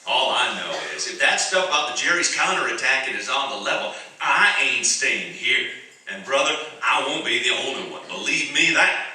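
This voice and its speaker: An actor playing a restless, anxious, hot-headed young prisoner of war in a radio drama, pushy and slangy. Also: male voice, medium pitch, lively intonation, normal speed, bright, forceful tone. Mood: defiant, bitter. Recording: very echoey, distant stage pickup.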